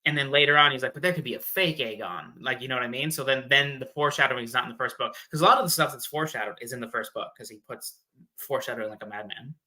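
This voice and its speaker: Very bright, forceful man explaining casually. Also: medium pitch, racing, rough recording. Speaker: guest theorist on a fan discussion show. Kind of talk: casual chat explaining fan theories.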